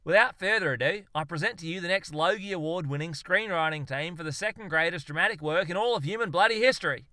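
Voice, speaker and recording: Man putting on loud Australian blowhard bloke, higher pitched and punchy, crisp dry sound, in a comic audiobook. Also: fast, hardly any pauses, no room sound. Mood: proud. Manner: loud, blustering, slangy. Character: brash boastful Australian self-styled zoologist, male.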